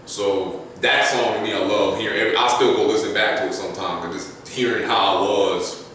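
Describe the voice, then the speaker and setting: A young adult man, a rapper guest, talking casually and slangily on a podcast, his voice jumping up and getting loud when he gets animated. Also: fairly quick. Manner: laid-back, slangy, climbs high and loud when animated. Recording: echoey room, distant mic, faint noise.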